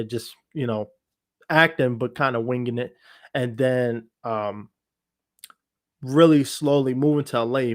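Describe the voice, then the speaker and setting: A man with a medium-pitched voice talks candidly as a podcast guest. He sounds self-assured and reflective, wandering a little as he tells his own story. The sound is dry, with little room echo. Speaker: podcast guest, actor and entertainer. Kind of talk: candid casual conversation, telling their own story. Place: online video call for a livestream podcast.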